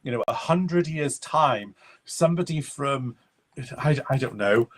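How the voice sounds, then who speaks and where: A Welsh man, a native Welsh speaker, talking as a guest author in an interview. He speaks in a reflective, measured way and often pauses to find his words. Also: medium pitch, lively intonation. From Wales. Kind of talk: conversational interview.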